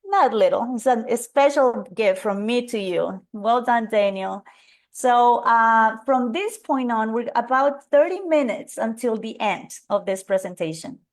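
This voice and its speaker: A middle-aged woman from Colombia with a Colombian Spanish accent, presenting an energetic live webinar and calling out audience members by name. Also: fairly high pitch, unhurried. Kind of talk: live webinar coaching talk.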